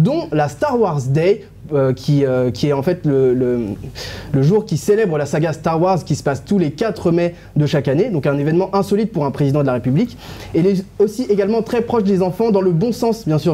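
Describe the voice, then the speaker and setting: A man's voice: a little high, lively intonation, brisk, warm tone. A young man in a studio presenting a celebrity news column, playful and often laughing, sometimes losing his place in his notes. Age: young adult. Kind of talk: playful scripted TV column with banter. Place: TV studio panel set.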